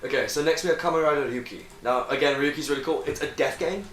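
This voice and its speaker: A man's voice: a little high, fast, fairly bright tone, recorded from a distance. A young man chatting casually with friends as he hosts, explaining things like an enthusiastic fan and swearing freely. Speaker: host of a friends' tier list discussion. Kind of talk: casual chat among friends. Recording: echoey room, off-mic, background noise.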